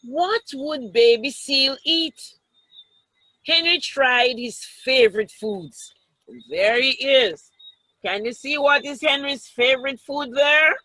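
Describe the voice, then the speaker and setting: A woman with a Jamaican accent reading a story aloud to children, expressive and teacherly, with asides to the listeners. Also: high-pitched, slow pace, dry recording, poor audio.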